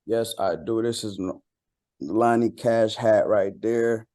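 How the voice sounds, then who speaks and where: A man speaking as a rapper being interviewed, chatting casually in a slangy, halting way. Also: lowish voice, dark tone. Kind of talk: casual chat.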